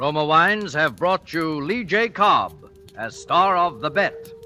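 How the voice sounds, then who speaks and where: A man's voice: a little high, animated, slow, sharp tone, faint music behind. An American man announcing a 1940s radio show in formal broadcast style.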